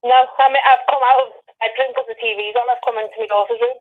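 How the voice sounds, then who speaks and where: A woman's voice: fairly high pitch, steady tone, fast talker. An English woman calling in to a psychic phone-in, giving short, casual replies over a phone line. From Wirral, England. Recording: tinny, narrow phone line.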